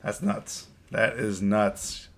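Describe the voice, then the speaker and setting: Man, hoarse from a cold, lowish voice, casual live stream host, rambling, repeats words. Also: big pitch swings, slow, fairly bright tone. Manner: rambling, repeats words, reads chat aloud. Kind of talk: casual live stream chat about trading.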